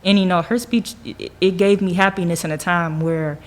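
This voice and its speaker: Young female podcast panelist, slightly low voice, joking and loose, conversational delivery. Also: moderate pace, slight background noise. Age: young adult. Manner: animated, joking, frequent "like" and "you know". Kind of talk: casual group discussion.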